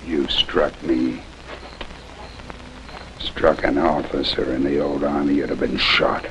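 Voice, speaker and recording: Western colonel: crippled, deluded, proud; very slow, gravel-deep, brooding. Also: male voice, level tone, fairly bright tone, heavily acted. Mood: haughty, bitter. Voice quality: gravelly, creaky. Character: bitter, delusional, crippled old army colonel in a western. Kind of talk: 1950s TV western acting. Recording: noisy old TV soundtrack, rain-like hiss.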